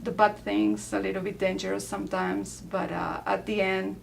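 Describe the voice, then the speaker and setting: Gravelly Peruvian-accented woman in her forties. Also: medium pitch, normal speed, slight background noise. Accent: Peruvian Spanish. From Peru. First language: Spanish. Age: 40s. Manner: relaxed, many soft fillers and laughs. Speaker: interviewee. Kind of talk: casual interview.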